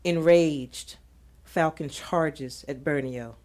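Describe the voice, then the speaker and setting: A woman reading scripted audio drama narration in a measured, descriptive manner. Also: low-pitched, a little mellow.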